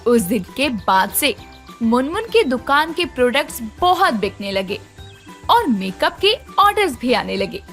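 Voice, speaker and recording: A woman's voice: high voice, very animated, unhurried, a bit bright, soft background music. A woman narrating a scripted children's story.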